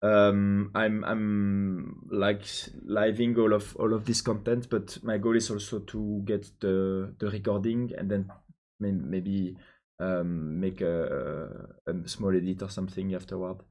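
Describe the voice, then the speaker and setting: Slow-talking Belgian French man coding. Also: fairly low pitch, flat delivery, a little mellow. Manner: hesitant, many ums and restarts, answers chat questions. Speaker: programmer live-streaming his coding. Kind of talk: thinking out loud while coding.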